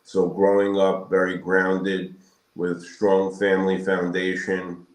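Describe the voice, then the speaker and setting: A man in his sixties from Brooklyn, answering interview questions in a measured, halting, reflective way with pauses between phrases. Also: low-pitched, completely flat delivery. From Brooklyn, New York, United States. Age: 60s. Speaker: guest, periodontal surgeon. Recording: roomy echoing sound.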